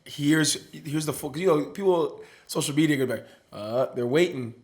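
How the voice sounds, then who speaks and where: A man from Nigeria hosting a podcast, steering the chat in a playful, animated way and often repeating words as he gets going. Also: a little high, a little fast. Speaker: podcast host. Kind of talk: casual interview chat.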